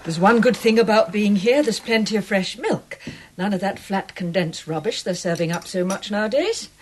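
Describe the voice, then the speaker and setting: An actress playing the doctor's nervous, earnest assistant, who speaks urgently and confidingly in a husky voice. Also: female voice, mid-range voice, normal speed, a little hiss or hum, close to an everyday voice. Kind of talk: radio drama acting.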